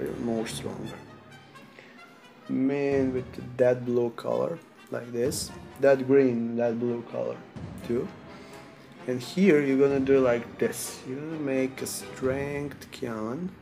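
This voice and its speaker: A man from Serbia with a Serbian accent, sick, talking through a software tutorial in halting non-native English while he clicks. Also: medium pitch, steady tone, vocal fry, faint music behind. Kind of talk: screen-recorded software tutorial.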